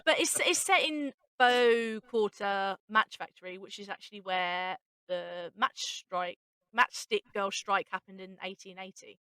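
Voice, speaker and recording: A woman's voice: medium pitch, unhurried, sharp tone, clean tone, no echo. A woman hosting a casual behind-the-scenes chat, talking in an informal, candid way. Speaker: host and writer.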